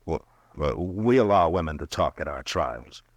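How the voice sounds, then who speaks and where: Radio western lead: a kindly, hesitant marshal, extremely deep voice, dry close mic. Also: male voice, expressive, normal speed, no room sound. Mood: hesitant, gentle. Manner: stammering, hesitant, gentle. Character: weary, kindly frontier marshal, grown man. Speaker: old-time radio drama actor and in-story narrator. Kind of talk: 1950s radio western acting and first-person narration.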